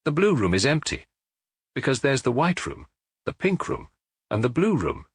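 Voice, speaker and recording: A man reading as a husky, matter-of-fact police officer. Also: mid-range voice, wildly swooping pitch, no room sound, studio quality, exaggerated voice. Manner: measured, matter-of-fact reporting. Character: middle-aged male police officer giving a report. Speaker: audiobook reader. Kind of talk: audiobook dialogue reading.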